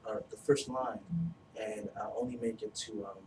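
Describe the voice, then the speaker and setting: A man co-leading a staff training session, explaining the scoring in a measured way and correcting himself as he goes. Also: fairly low pitch, huge pitch swings, creaky. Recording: distant, echoey, noisy room.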